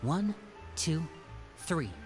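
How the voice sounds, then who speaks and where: A suave, persuasive young schemer in an anime game. His delivery is theatrical and swings widely in pitch, with faint music underneath. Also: animated, very slow. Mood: confiding. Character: suave young male magician, elder brother, theatrical schemer.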